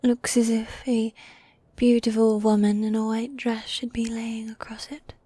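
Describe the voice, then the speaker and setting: A woman playing a teasing, needy yet dominant goth demon girlfriend in a fantasy ASMR roleplay, soft and breathy, often whispering, close to the mic. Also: on the high side, little pitch movement, a little slow, no echo. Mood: coy, dreamy. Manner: teasing, coy, affectionate, with sighs. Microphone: close, dry microphone.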